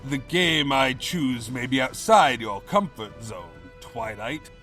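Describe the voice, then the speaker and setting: A man's voice: mid-range voice, animated, slow, a bit bright, soft background music. A man playing a pompous, scheming evil pony king in a theatrical, grandiose manner, in a fan fiction audio drama. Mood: grandiose, challenging.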